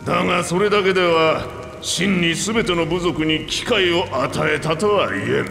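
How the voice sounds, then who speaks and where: A man playing an aging two-headed reptilian king in a video game, commanding, frank and gruff in his manner. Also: high voice, normal speed, fairly bright tone. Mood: frank, wry. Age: older. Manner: gruff, regal, plain-spoken old-ruler speech. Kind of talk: Japanese video game voice acting. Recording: echoey hall reverb, background music.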